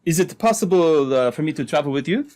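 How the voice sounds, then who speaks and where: A man's voice: on the high side, animated, quick pace, a little mellow, natural voice. Man doing sneaky guy in old radio play, too polite, voice goes up n down. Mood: feigned concern. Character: sly French trapper villain feigning helpfulness. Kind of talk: old-time radio drama acting.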